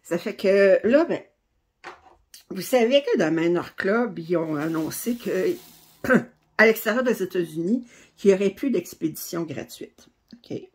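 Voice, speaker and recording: A woman's voice: medium pitch, animated, a little slow, darkish tone, slightly rough. A middle-aged woman with a Québécois French accent, hosting a craft channel and chatting casually to camera with many fillers and restarts. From Quebec, Canada.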